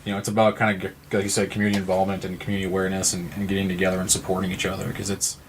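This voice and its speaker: Male, 30s, podcast guest. Low voice, flat delivery, earnest and wordy, some background noise. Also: racing, low-quality recording. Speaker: podcast guest from a support non-profit. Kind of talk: conversational interview talk.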